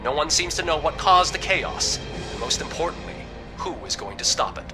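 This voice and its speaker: A man's voice: on the high side, lively intonation, normal speed, over music, natural voice. A man acting a formal television reporter giving a dramatic breaking news bulletin in a video game. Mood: urgent, grave.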